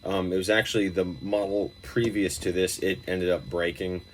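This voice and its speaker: A man's voice: sits low, flat delivery, normal speed, slight background noise. A man giving a casual, informal gear demonstration, explaining things in a loose, rambling way as a musician and reviewer.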